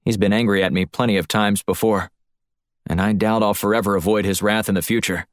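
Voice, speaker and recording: A man's voice: lowish voice, fairly even intonation, brisk, no echo, clean studio sound, lightly acted. A man voicing a cool, wry male secret agent in an audiobook, terse and unflustered. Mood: resolute. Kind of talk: audiobook character voice.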